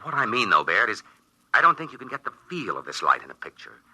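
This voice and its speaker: An actor playing a thoughtful male astronaut who narrates his moon landing in a 1950s radio drama, earnest and halting. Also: lowish voice, wildly swooping pitch, bright, forceful tone, slightly rough, no echo, heavily acted, poor audio. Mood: musing. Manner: earnest, reflective, halting with small stammers. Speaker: narrator and crewman.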